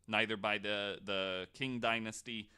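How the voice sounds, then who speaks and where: A man's voice: lowish voice, fairly even intonation, unhurried, sharp tone, smooth. A man hosting a podcast, telling a researched story in a casual, jokey, informal way. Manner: informal, swears, jokey asides.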